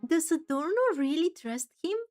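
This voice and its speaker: A woman's voice: very high-pitched, lively intonation, normal speed, a little mellow, soft background music. A woman streaming a game, commenting casually and musing aloud in a reactive way, sometimes reading text out. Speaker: gameplay streamer.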